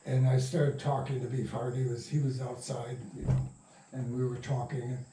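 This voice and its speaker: A man's voice: mid-range voice, little pitch movement, moderate pace, mellow. An older man telling stories casually in an interview, rambling and salty-tongued. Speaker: interviewee, radio play producer. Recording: roomy, distant mic, noisy recording.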